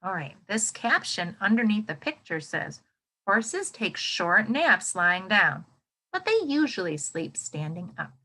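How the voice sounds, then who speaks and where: A woman teacher reading a nonfiction book aloud to children, expressively, thinking out loud and putting questions to her listeners. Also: medium pitch, very animated, measured pace, poor audio. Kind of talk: interactive children's nonfiction read-aloud lesson.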